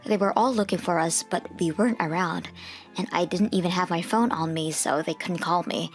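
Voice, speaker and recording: Casting: female narrator, lowish pitch, scripted first-person comic storytelling, music underneath. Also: moderate pace. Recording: music behind the voice.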